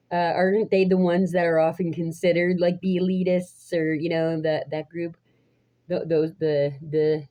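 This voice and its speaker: Raspy thirties woman vlogger, low and mellow, rambling with many restarts. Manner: halting, restarts often, laughs between phrases. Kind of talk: rambling casual vlog chat. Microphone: phone.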